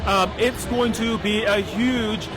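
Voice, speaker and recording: Man, high voice, impassioned and forceful; loud music underneath. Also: steady tone, slow. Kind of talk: impassioned statement.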